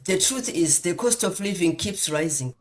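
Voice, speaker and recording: A woman's voice: fairly low pitch, expressive, moderate pace. A woman from Zimbabwe giving an earnest motivational talk as a guest speaker, asking rhetorical questions and stressing lists of points. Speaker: guest speaker, nurse and business mentor. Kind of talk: motivational talk on a group call.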